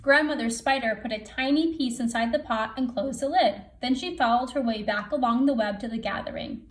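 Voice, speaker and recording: Higher-voiced woman reading a storybook aloud. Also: brisk. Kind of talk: storybook read-aloud for children.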